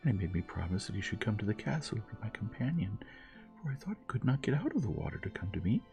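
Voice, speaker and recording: Man putting on a lighter-pitched, spoiled young princess. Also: very animated, fast talker, creaky, dark tone, highs cut off, soft background music. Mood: anxious.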